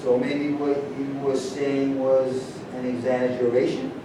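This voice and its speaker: A man plays a troubled, reflective golf champion in a staged reading. The mid-pitched voice comes from some distance in a very echoey room, with clear rumbling noise. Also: flat delivery, unhurried, natural voice. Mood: questioning. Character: troubled, reflective champion golfer with a shattered private life.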